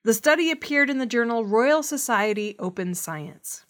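A woman reading scripted news stories as a measured narration for listeners. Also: on the high side, lively intonation. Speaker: news reader.

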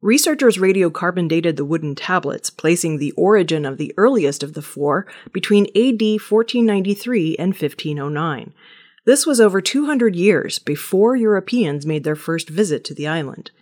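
A woman reading a scripted weekly news bulletin in a measured, steady manner. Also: fairly low pitch. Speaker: news reader.

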